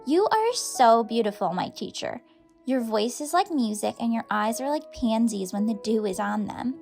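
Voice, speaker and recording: A woman's voice: fairly high pitch, expressive, moderate pace, creaky, a little mellow, soft background music. A woman playing an adoring schoolgirl who reads a flowery love letter in a gushing, dramatic audiobook style. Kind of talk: audiobook character reading.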